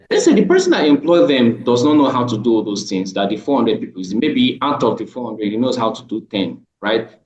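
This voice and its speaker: A man's voice: mid-range voice, a little mellow. Male debater, firm, keeps checking "right?", muffled roomy recording. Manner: emphatic, with frequent "right?" tags. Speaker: panelist. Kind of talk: panel debate. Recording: dull, echoey room.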